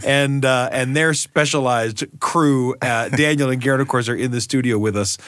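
A male radio host with a mid-pitched voice, recorded very dry in a studio. He talks reflectively with guests, pausing to find his words. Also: steady tone, a bit bright, dry recording. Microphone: studio microphone.

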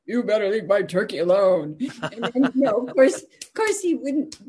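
Female guest storyteller, medium pitch, casual and animated anecdotal delivery. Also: moderate pace, a little hiss or hum. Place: at home.

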